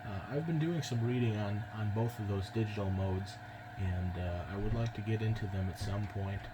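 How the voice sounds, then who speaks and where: A man with an American accent chatting casually as an amateur radio operator, in a measured, slightly hesitant way. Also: fairly low pitch. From United States. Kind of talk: casual ham radio chat. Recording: very loud background noise on radio transmission.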